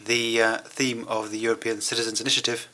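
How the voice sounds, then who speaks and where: A man interpreting a German speech into English at a conference, with measured, hesitant phrasing and pauses. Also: lowish voice, flat delivery, sharp tone.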